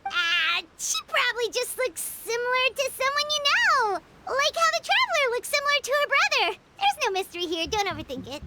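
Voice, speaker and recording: A woman voicing a tiny, excitable, chatty fairy companion with a squeaky childlike voice in a game cutscene. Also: normal speed, hardly any pauses, heavy background noise. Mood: flustered, evasive. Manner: speaks of self in third person. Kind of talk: animated game cutscene voice acting. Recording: loud music behind the voice. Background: music.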